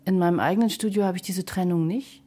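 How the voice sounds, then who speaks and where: Female interviewee, somewhat low and very mellow voice, explaining her studio working methods. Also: fast talker. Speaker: composer and radio drama maker, interviewee.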